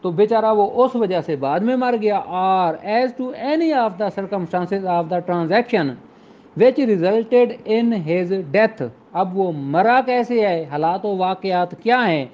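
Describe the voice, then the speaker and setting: A man giving an explanatory lecture in a didactic way, mixing in slowly and deliberately read English phrases. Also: sits high, lively intonation, muffled recording. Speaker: law lecturer. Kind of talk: explanatory law lecture with examples, code-switching into English.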